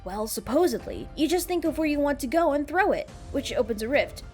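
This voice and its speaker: A woman voicing a bright, earnest young fox inventor who explains things eagerly in an animated fan dub. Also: high-pitched, fairly quick, soft background music. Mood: urgent, informative.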